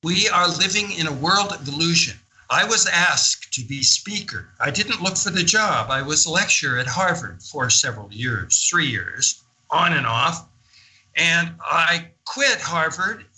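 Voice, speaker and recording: A man's voice: a little high, expressive, measured pace, bright, forceful tone, poor audio. An older man talking as a guest in a radio interview, telling of his experiences in an enthusiastic, emphatic, conversational way.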